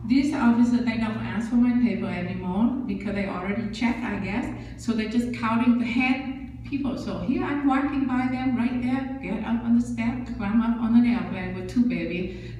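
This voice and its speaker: A woman's voice: medium pitch, moderate pace, dark tone, slight background noise. A woman in her sixties from Vietnam with a Vietnamese accent, giving an earnest personal testimony in a church with many fillers and simple grammar. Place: large church sanctuary. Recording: echoey church hall, speaker far from mic.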